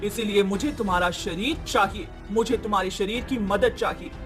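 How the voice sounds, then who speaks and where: A woman voicing a scheming sorceress with a persuasive, reassuring manner in an animated story. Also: mid-range voice, normal speed, over music, close to an everyday voice. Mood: demanding. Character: scheming wounded sorceress seeking another woman's body. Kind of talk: Hindi animated moral story acting.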